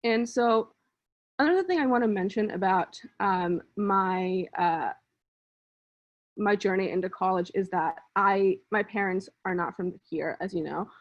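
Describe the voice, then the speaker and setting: A woman's voice: medium pitch, measured pace. A young adult woman from Bangladesh, a research scientist telling her personal story in a conversational, explanatory way and walking through ideas step by step. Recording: slightly muffled lo-fi recording.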